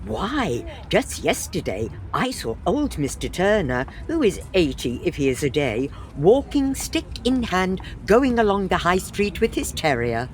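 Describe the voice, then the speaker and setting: Sensible period wife played by a woman, unhurried, lively. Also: on the low side, slight background noise, low-quality recording. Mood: dryly practical. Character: sensible, sturdy middle-aged wife, dryly exasperated. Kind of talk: comic period farce radio acting.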